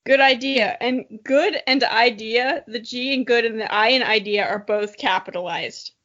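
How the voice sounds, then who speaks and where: A woman's voice: a little high, moderate pace, fairly bright tone, highs cut off. A woman podcast co-host giving a dramatic reading of a childhood story with self-mocking, giggly asides.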